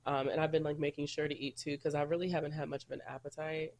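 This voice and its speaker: A man's voice: fairly high pitch, little pitch movement, fast talker, darkish tone, slight background noise. A congested man recovering from a cold, hosting a casual solo podcast and rambling in a chatty way. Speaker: podcast host.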